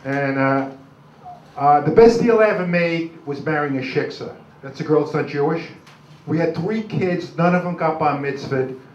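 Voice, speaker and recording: Older New York comic, distant echoey recording. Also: male voice, on the high side. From Brooklyn, New York, USA. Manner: halting, self-deprecating, rambling delivery. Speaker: stand-up comedian. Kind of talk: live stand-up comedy, crude jokes. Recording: dull, noisy, echoey club recording from afar.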